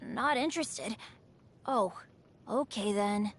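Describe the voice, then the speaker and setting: A woman's voice: medium pitch, takes it slowly, husky. A woman voicing an upbeat but unlucky teenage boy adventurer in a video game, with an earnest, chatty, boyish delivery. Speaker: game character voice actor. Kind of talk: scripted game character voice lines.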